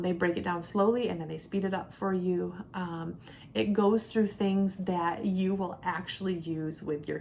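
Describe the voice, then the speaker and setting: Low-pitched, creaky-voiced woman explaining casually. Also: a little mellow. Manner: conversational, explanatory. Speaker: homeschooling mom reviewer. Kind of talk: casual product review talk to camera. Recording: clear background noise with low rumble.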